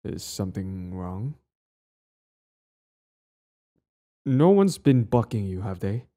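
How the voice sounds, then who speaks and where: A man's voice: lowish voice, very animated, moderate pace, mellow. A young man acting a cocky, possessive and smitten bully in an audio roleplay spoken to the listener. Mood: concerned, possessive. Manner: stammering, swaggering, profane.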